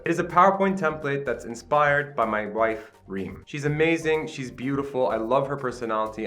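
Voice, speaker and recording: A man's voice: medium pitch, fairly bright tone. Chatty late-20s male host, upbeat and self-deprecating, mid-range voice, casual home recording, faint music under it. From Qatar. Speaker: channel host. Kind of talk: casual vlog talk to camera. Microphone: camera with self-admitted poor sound.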